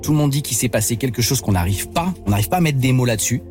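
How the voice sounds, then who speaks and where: A man co-hosting a radio show, telling a story with playful, animated energy. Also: medium pitch, quick pace, faint music behind. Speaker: radio co-host.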